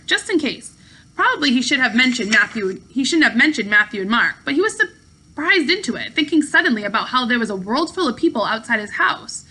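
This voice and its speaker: Bright, animated woman reading a story. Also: fairly high pitch, husky, low-quality recording. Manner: animated, with occasional stumbles and rereads. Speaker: teacher reading aloud to pupils. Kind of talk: expressive storybook narration.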